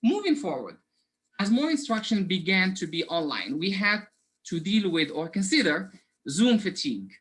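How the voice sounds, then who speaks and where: A man's voice: high voice, very animated, moderate pace, fairly bright tone. A man from Morocco with a Moroccan accent, giving an earnest academic conference presentation over a video call, with frequent fillers and self-corrections. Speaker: conference presenter, assistant professor.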